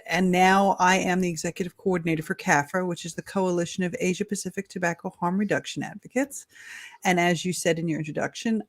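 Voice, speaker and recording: A woman's voice: lowish voice, no echo. Guest spot: blunt, chatty New York woman in her 50s, Long Island accent, dry close sound. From New York, USA. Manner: chatty, blunt, quick to laugh. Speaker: guest, consumer advocate. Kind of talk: casual podcast interview.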